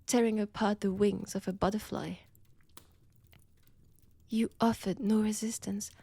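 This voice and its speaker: A seductive female vampire with a French accent, acted by a woman, purring threats to her prey in an intimate audio drama. The voice is breathy and often sinks toward a whisper. Delivery is very unhurried, with teasing, menacing pauses, and the sound is close and dry. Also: medium pitch, darkish tone, dry recording. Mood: gloating, cruel. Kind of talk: intimate audio roleplay, addressed to the listener.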